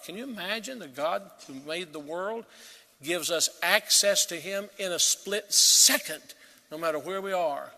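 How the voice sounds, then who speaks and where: A man preaching a sermon as pastor, earnest and emphatic, swelling to loud peaks and dropping to quiet. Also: on the high side, long reverb tail.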